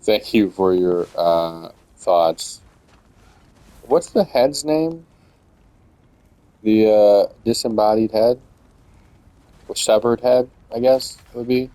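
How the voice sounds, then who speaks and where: A man's voice: on the low side, slow, pauses often, warm tone, no room sound. A young man casually commenting on a video game as he plays, rambling with lots of hesitant fillers. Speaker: gamer streaming a playthrough.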